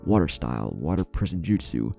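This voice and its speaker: Man, very low pitch, dark mellow tone, dramatic story narration, music underneath. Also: big pitch swings, brisk, creaky. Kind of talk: dramatic scripted fan fiction narration.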